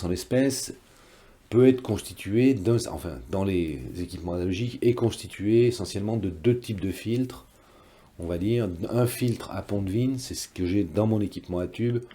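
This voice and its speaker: A man's voice: low voice, mellow. A man giving a technical tutorial in a careful, didactic way, rephrasing step by step as he teaches. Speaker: electronics teacher, presenter.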